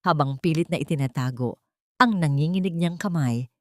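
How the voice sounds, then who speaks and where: Female narrator, slightly low pitch, dramatic scripted storytelling, dry studio-like sound. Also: normal speed, a little mellow, dry recording.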